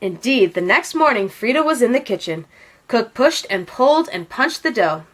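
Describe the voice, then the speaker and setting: Female storybook narrator, expressive, highish voice, very lively intonation. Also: moderate pace, slight background noise. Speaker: storybook read-aloud narrator.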